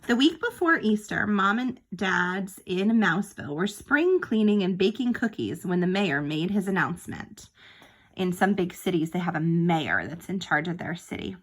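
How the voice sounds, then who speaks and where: A woman's voice: on the low side, lively intonation, moderate pace. A woman reading a picture book aloud to young children like a teacher, expressively and with small explanatory asides.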